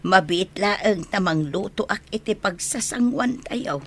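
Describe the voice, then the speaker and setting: A woman's voice: medium pitch, animated, a little slow, a bit bright. A woman playing the caring rural wife of an old herdsman in an Ilocano radio drama. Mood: curious.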